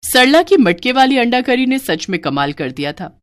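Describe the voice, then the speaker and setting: A woman's voice: a little high, very animated, moderate pace. A woman reading scripted narration for a moral story as its storyteller.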